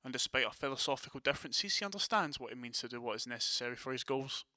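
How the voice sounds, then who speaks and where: A man's voice: medium pitch, expressive, fast, bright, forceful tone, no room sound, clean studio sound. A man playing a commanding, decisive vampire prince in an audio drama, with a formal, authoritative manner. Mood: firm, assured.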